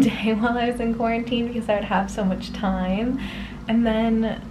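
Slow, chatty young woman vlogging. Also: medium pitch, background noise. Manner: chatty, laughs often, relaxed and rambling. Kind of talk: casual vlog chat. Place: small quarantine apartment room, indoors. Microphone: camera on a desk, later handheld camera. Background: background music.

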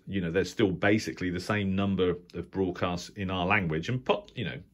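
A man's voice: low-pitched, fairly bright tone. A British man giving informal, unscripted commentary as a radio hobbyist, hesitant and rambling, often correcting himself. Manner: hesitant, halting, rambling delivery with self-corrections. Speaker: shortwave radio hobbyist presenter.

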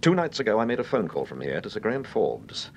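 An actor with a British accent playing an urbane, composed gentleman detective in a radio drama, polite, clipped and assured. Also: wildly swooping pitch, fast talker, vocal fry, full character voice. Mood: calmly confident. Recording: rumbly, noisy old radio recording.

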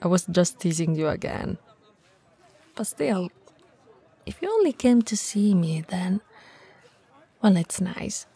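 A woman acts out a towering, friendly shop owner in an ASMR roleplay. She talks slowly and softly, with a mellow, breathy voice and an easygoing, teasing manner. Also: lowish voice, full character voice. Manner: relaxed, soft, teasing, with breathy whispers.

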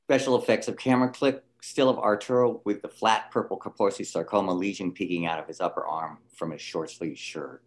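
A man's voice: on the low side, fairly even intonation, fairly quick, few pauses. A man reading scene headings and stage directions in scripted narration at a table reading.

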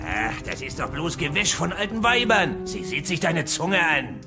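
Gruff blunt Viking healer, swooping pitch, gravelly, audio drama, music behind. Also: male voice, sing-song, normal speed. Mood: dismissive. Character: blunt, crusty male Viking village healer.

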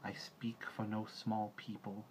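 A man voicing a huge male python who speaks slowly, quietly and deliberately. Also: lowish voice, completely flat delivery, slight background noise, pitched above the performer's own voice, lightly acted, low-quality recording. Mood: hushed. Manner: slow, hushed, deliberate.

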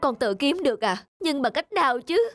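A woman's voice: sits high, very animated, moderate pace, no room sound. A woman voicing a loving, emotional mother in a children's cartoon. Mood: hurt, reproachful. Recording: music behind the voice.

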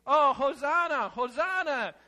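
A man acts out a novelty alarm clock at full volume. It is shrill, bright and very high, proclaiming with jubilant screams as part of a playful church skit. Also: animated, unhurried, full character voice. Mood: jubilant screaming. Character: screaming alarm clock, loud, jubilant, invented for Palm Sunday.

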